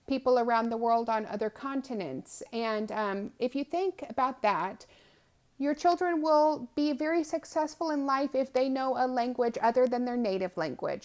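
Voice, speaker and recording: A woman in her thirties with a fairly high voice. She speaks conversationally, explaining things step by step in an informal testimonial style, like a parent presenting to other parents. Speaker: homeschooling mother presenting a language program.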